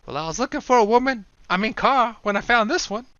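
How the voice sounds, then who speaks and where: A man voicing Will, a cocky young gambler sidekick who wisecracks loudly in a high, mocking voice. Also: expressive, quick pace, clear, resonant tone, dry recording, lo-fi sound, full character voice. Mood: wisecracking. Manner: loud, high, mocking, jokey.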